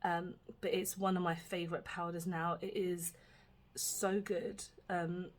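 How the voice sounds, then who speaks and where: Chatty British woman, slightly husky. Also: fairly low pitch, level tone, measured pace. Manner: chatty, rambling, with many "um"s and "like"s. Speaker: beauty vlogger. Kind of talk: casual makeup tutorial chat. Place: at home.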